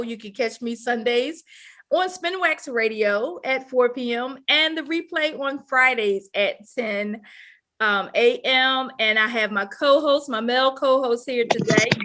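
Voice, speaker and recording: A woman's voice: fairly high pitch, measured pace, bright, forceful tone. A woman in her fifties hosting a casual relationship talk show on the radio. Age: 50s.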